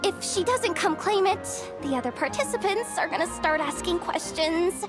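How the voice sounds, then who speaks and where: A frazzled young female organizer from a video game, very high and lively in pitch. She talks in casual slang, stammering and sighing like someone with too much to juggle. Music plays behind her. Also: normal speed. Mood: hurried.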